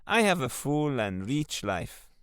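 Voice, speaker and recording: A man voicing a contented Mexican village fisherman in a put-on Mexican accent, relaxed and unhurried. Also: mid-range voice, smooth, no echo, studio quality.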